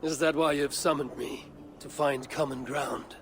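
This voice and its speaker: Game role: proud, restless Viking lord, gravelly and slightly raised voice, terse, wry, courteous. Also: male voice, fairly high pitch, fairly even intonation, measured pace, noisy background. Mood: guarded, respectful. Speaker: lead character in a historical action game. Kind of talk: scripted game drama dialogue. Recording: music under the dialogue. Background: background music.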